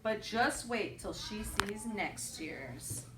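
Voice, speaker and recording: A woman reading a picture book aloud to young children, pausing to question them and repeat their answers back. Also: mid-range voice, animated. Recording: roomy echo, distant mic, faint noise.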